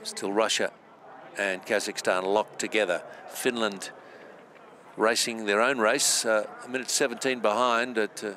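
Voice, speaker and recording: Male sports commentator, measured play-by-play. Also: on the low side, a little hiss or hum. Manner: measured, steady play-by-play with filler pauses.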